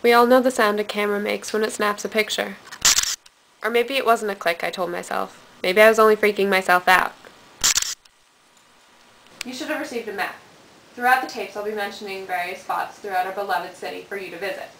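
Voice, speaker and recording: A woman's voice: medium pitch, steady tone, creaky, echoey room, natural voice. A teenage girl acting as a bitter, sardonic dead girl narrating confession tapes in a student film. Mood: wounded, accusing, then wry, eerie. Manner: deliberate, sardonic, dramatic delivery. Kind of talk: scripted dramatic monologue for amateur student film. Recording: hissy, distant amateur room recording.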